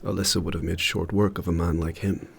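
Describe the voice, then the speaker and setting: An age-old warrior spirit in a fantasy audio drama. The voice is low and rough-edged, with a husky grain. Every phrase is grave, formal and measured. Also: male voice, little pitch movement, heavily acted. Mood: solemn, regretful. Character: ancient, solemn warrior spirit living in another's mind.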